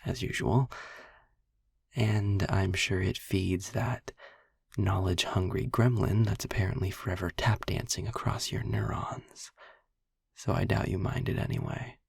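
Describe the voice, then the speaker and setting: A man playing a sarcastic, philosophical demon in an intimate audio roleplay, speaking deliberately in a husky, breathy half-whisper with a frustrated but principled air. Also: low-pitched, fairly even intonation, no echo, clean studio sound, heavily acted. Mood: wry, teasing. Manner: sardonic, deliberate, ranting with pauses and sighs.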